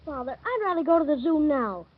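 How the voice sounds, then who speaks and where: A man voicing a small, curious, pleading boy in a 1930s radio drama, with a high, whining, insistent tone on an old broadcast recording. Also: very animated, fairly quick, phone-quality sound.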